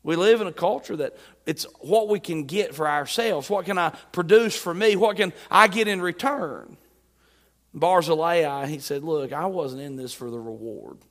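A man delivers a sermon in a high voice, reading verses and then retelling them in plain, emphatic speech. His words ring out with a long reverb, as if heard in a church sanctuary. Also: moderate pace. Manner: emphatic preaching, paraphrasing scripture in plain talk. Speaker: preacher.

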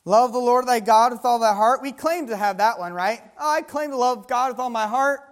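A woman preaching a sermon, reading scripture slowly and asking the listeners to fill in the words. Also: fairly high pitch. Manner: call-and-response questions, reading scripture slowly.

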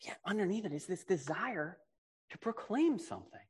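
A man's voice: high voice, very animated, normal speed, husky. A man with a big, projecting voice preaching a conversational sermon, casual and self-deprecating, often asking rhetorical questions. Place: large reverberant room. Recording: reverberant hall.

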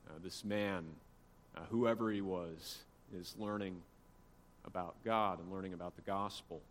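A man's voice: low-pitched, fairly even intonation, measured pace. A man giving an informal church history lecture, explaining things conversationally with casual asides. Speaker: church teacher. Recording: long hall reverb, faint background noise.

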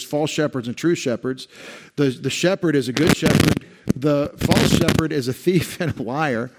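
Man preaching a Bible sermon. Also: on the high side. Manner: restarts phrases often, with light humor. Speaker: pastor.

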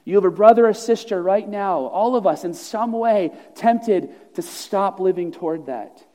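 A man preaching A pastor delivers an earnest, emphatic sermon, leaning on repeated phrases to drive each point home. His voice sits fairly high but sounds mellow and soft-edged. Long reverb from a large church hall trails after his words. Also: moderate pace. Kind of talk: sermon and closing prayer.

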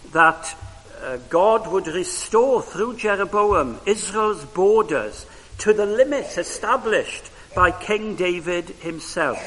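A man whose first language is Welsh, preaching a sermon in a church in a measured way, with frequent pauses and rising emphasis on key phrases. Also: high voice, a bit bright. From Wales. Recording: reverberant hall with faint hiss.

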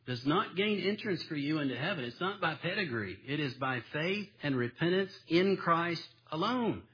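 Husky-voiced preacher with folksy sermon style. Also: male voice, a little high, expressive, moderate pace, highs cut off. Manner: folksy, self-deprecating preacher with homespun stories. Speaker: pastor. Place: church sanctuary.

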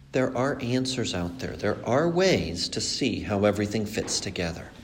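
A man from Colombia who grew up in Mexico, preaching with earnest, explanatory emphasis. His pitch rises and falls widely, and he slows down to stress key words. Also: a little hiss or hum. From born in Colombia, raised in Mexico. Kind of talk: expository sermon.

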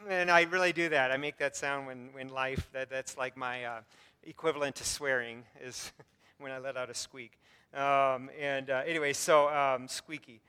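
A man preaching a sermon as pastor, earnest, with light self-deprecating humor, frequent fillers and restarts, and a voice he himself calls squeaky. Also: fairly even intonation, moderate pace, bright, forceful tone, clear, resonant tone. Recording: long reverb from a hall.

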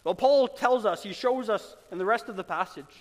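A man preaching a sermon as pastor, earnest and emphatic, with dramatic pauses. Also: high-pitched, in a large hall.

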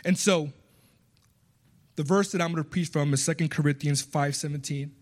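A man's voice: a little high, fairly even intonation, normal speed. Earnest man with slight Puerto Rican accent. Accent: slight Puerto Rican Spanish accent. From Puerto Rico. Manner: earnest, heartfelt, builds up emphatically. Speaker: guest preacher. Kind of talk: sermon.

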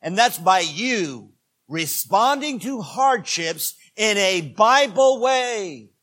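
A man in his sixties preaching a sermon as pastor, swinging between quiet asides and loud, emphatic preaching. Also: sits high, expressive, takes it slowly. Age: 60s.

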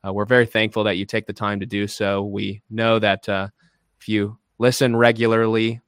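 A man's voice: lowish voice, little pitch movement, normal speed, a bit bright, clean tone, dry recording, clean studio sound. A man hosting a podcast interview, welcoming his guest in a friendly, formal way with many fillers. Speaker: podcast host.

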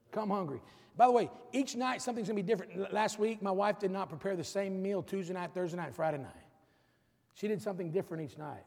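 Gravelly-voiced man preaching in a reverberant church. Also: high voice. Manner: preaching with rising emphasis, urging the congregation. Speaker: pastor. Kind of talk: sermon. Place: church sanctuary.

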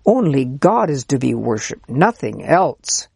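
A reader playing a kindly old priest, husky and gravelly. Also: medium pitch, sing-song, measured pace, no room sound, clean studio sound, full character voice. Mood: kindly explaining. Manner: gentle, explaining, earnest. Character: kind, patient old male Israelite priest. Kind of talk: storybook character reading.

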